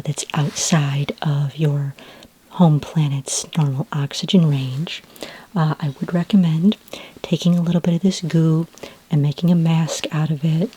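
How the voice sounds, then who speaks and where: A woman playing a calm, helpful sci-fi skin care consultant in a soft-spoken ASMR roleplay, gentle and reassuring, often close to a whisper. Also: low-pitched, breathy edge, low-quality recording. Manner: gentle, reassuring, hesitant and informal explaining.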